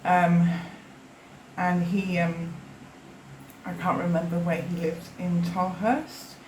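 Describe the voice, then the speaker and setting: A woman in her fifties from South Africa tells her story in a hesitant, rambling way, stopping and restarting often. Her voice sits fairly low. The recording is roomy and echoey, with a faint hiss behind it. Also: darkish tone. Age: 50s. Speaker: interviewee giving a witness statement. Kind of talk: personal testimony in conversation. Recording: roomy echo.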